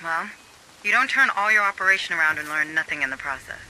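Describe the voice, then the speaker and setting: A composed mission officer from a sci-fi game who talks the squad through each step. His voice sits high and bright, with a patient, even delivery over faint ambience. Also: a little hiss or hum, low-quality recording. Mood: calm. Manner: measured, reassuring. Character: calm, reasoning male mission officer on comms. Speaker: mission support officer on comms. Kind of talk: video game dialogue.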